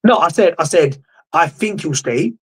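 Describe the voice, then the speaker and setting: Young male pundit, husky, slightly high, confident, says "bro" often. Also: animated, normal speed. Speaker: guest pundit, podcast host. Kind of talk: casual football debate.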